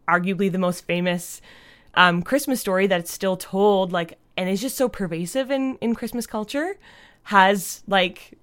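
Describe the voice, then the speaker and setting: A woman's voice: mid-range voice, a little slow, a bit bright. A Canadian woman chatting informally as an interview guest, with lots of "like" in her casual speech. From Fredericton, New Brunswick, Canada. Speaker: podcast guest, audio drama creator.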